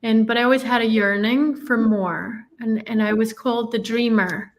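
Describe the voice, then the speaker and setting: A woman's voice: on the high side, steady tone, moderate pace. A woman from Ireland, telling her life story in an earnest, reflective way as an interview guest. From Northern Ireland.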